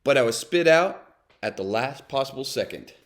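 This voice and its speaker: A man's voice: medium pitch, lively intonation, moderate pace, natural voice. A man reading a children's storybook as a brooding, self-confessed bad sunflower seed, in a dramatic, confessional way. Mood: wistful. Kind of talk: children's read-aloud narration.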